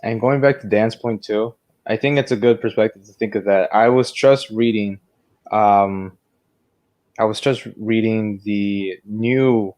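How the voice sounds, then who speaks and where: A man with a fairly low voice speaks as a podcast co-host. He talks casually and wanders through tangents as he discusses films. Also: steady tone, a little slow, darkish tone. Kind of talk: casual film review discussion.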